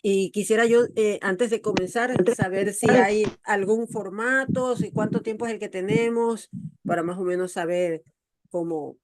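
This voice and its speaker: A woman's voice: mid-range voice, steady tone, moderate pace, a little mellow, slight background noise. A middle-aged woman with an Ecuadorian accent gives a personal religious testimony, repeating words as she searches for the next one. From Guayaquil, Ecuador. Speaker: guest speaker giving testimony. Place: online meeting.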